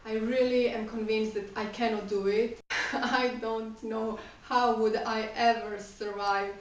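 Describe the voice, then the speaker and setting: A woman's voice: on the high side, level tone, a little slow, dull audio. A woman in her thirties, a non-native English speaker whose first language is Croatian, answering viewer questions in a chatty vlog, with many fillers. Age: 30s. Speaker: vlogger. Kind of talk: casual Q&A vlog. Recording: echoey room, mic a bit far off.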